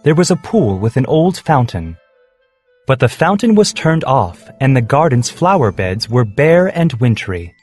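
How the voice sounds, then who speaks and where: Expressive male children's story narrator. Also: medium pitch, measured pace, husky, soft background music.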